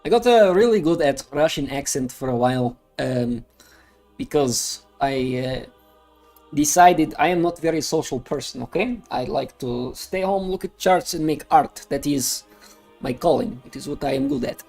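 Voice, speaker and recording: A man talks through trading charts in a loose livestream style, drifting off on tangents and filling pauses with "uh". His voice sits a little on the high side. A song plays faintly underneath. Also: animated, creaky, a little mellow. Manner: chatty, rambling, frequent uh fillers. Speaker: crypto chart streamer. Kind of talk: casual livestream chart commentary. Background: music playing.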